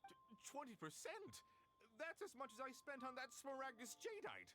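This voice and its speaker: An actor playing a cornered, pleading merchant who stammers, in a video game. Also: male voice, very high voice, wildly swooping pitch, normal speed, bright, forceful tone, heavily acted. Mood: shocked, dismayed. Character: flustered, cornered male merchant confessing a swindle.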